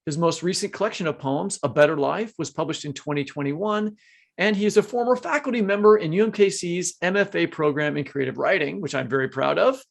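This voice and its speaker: A man hosts a podcast in a fairly high voice with a pronounced gravelly creak. His delivery is conversational and joking, and it wanders and halts when he leaves the script. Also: a little fast. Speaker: podcast co-host.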